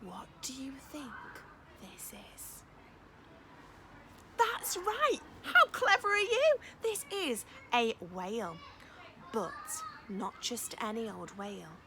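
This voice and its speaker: A woman teacher reading a storybook to young children in an expressive, encouraging way, with dramatic emphasis and chatty questions to her listeners. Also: fairly high pitch, slow pace, background noise.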